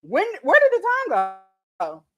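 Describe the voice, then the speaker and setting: A woman's voice: high-pitched, huge pitch swings, a little slow. A woman, chatting casually on her cooking livestream, reading viewer comments aloud and laughing easily, a little tipsy. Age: late 30s. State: tipsy from mimosas.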